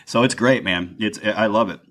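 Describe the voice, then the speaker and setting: A man hosting a podcast interview, talking loudly and enthusiastically, often restarting his sentences. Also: fairly low pitch, moderate pace. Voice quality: loud. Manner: enthusiastic, rambling, self-interrupting. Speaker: podcast host. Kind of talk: casual interview chat. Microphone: podcast mic.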